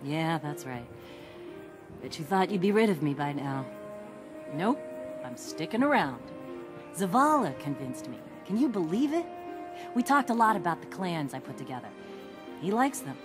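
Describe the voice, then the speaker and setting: Lively, breezy woman mechanic. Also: low-pitched, normal speed, pauses often, music in the background. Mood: wry, warm. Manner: breezy, teasing, folksy informal talk. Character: spirited, irreverent woman mechanic, casual and wry. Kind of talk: video game character dialogue.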